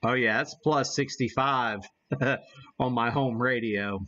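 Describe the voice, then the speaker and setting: A man talks casually as he hosts a live demonstration. His delivery is slow and halting, as if working things out aloud, with a folksy, self-deprecating manner. The recording is somewhat dull and lo-fi. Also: mid-range voice, pushed and bright. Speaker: host of a live ham radio demonstration. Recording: dull, muffled lo-fi sound.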